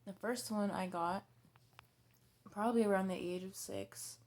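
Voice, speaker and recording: A woman in her twenties talking frankly in a personal vlog, halting and hesitant, with many restarts and fillers, blunt and given to swearing. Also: medium pitch, fairly even intonation, darkish tone. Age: 20s. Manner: blunt and candid, swearing freely. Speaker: vlogger.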